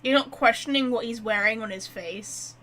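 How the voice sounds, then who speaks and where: High-pitched woman casually reacting to a game. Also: moderate pace, bright, forceful tone. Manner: chatty, reactive, thinking aloud. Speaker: gamer, streamer. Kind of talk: casual playthrough commentary. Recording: faint background noise.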